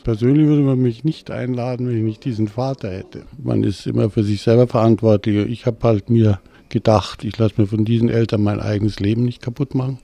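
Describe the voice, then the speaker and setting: Older man, gravelly dark voice, blunt and emphatic, dry sound. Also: medium pitch, fairly even intonation, fairly quick, few pauses. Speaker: interviewee.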